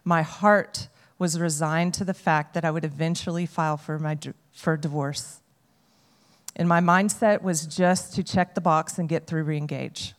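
A woman reading a prepared personal testimony to a group, in an earnest, measured way. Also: deep voice, steady tone. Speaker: speaker sharing a testimony. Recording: long hall reverb.

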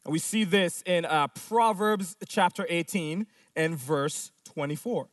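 A man with a high voice preaches a sermon, stressing his points by repeating phrases and firing off rhetorical questions. He is recorded in a dry space, a little off the mic. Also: a little slow, fairly bright tone, no room sound, recorded from a distance. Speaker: pastor.

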